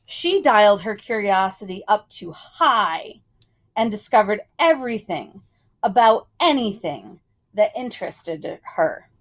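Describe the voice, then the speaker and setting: A woman reading a children's picture book aloud in a storytelling style, with measured phrasing and deliberate pauses. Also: mid-range voice, sing-song, a little hiss or hum.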